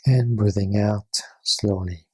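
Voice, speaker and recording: A meditation guide narrating a guided practice in a gentle, soothing and unhurried manner, with a husky, breathy, creaky voice. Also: low-pitched, fairly even intonation.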